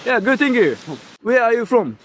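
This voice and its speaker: A man's voice: very high voice, very animated, moderate pace, a little hiss or hum. A man interviewing tourists on a beach with short, simple, enthusiastic questions. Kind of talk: casual street interview. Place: beach, outdoors.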